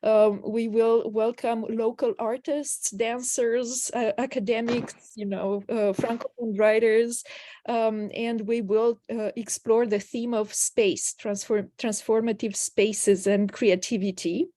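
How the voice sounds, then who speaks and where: A higher-voiced woman speaks on a video call, thanking everyone and announcing events with eager energy and frequent fillers. Her tone is mellow, and the sound is close and dry. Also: fairly even intonation, slow, no room sound. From Romania. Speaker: host from the organizing cultural institute.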